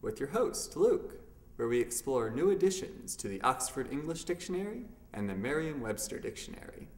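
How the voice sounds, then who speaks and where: A man's voice: mid-range voice, big pitch swings, normal speed. A young man hosting a scripted comedic word segment in a mock-formal announcer manner. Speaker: segment host. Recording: distant mic under loud music. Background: loud brassy music.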